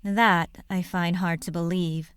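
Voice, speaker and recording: A woman's voice: on the low side, steady tone, measured pace, clear, resonant tone, dry recording, studio quality, close to an everyday voice. A woman playing a blunt, skeptical traveling companion in a fantasy audio drama. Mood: doubtful.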